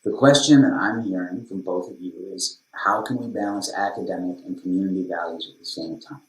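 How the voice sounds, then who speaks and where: A diplomatic male mediator played by a man in a podcast drama. His voice is very deep and measured, always conciliatory, with a noticeable room echo around it. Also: lightly acted. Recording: roomy, echoing sound.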